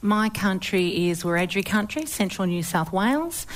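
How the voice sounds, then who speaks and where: A woman's voice: mid-range voice, expressive, normal speed. A woman with an Australian accent talking conversationally as a panelist, reflective and thinking aloud. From Sydney, Australia. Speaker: panelist, writer.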